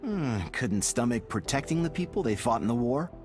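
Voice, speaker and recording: A man's voice: mid-range voice, animated, normal speed, lightly acted. Blunt actor as a male squad member. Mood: sceptical. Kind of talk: scripted game dialogue. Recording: faint background music.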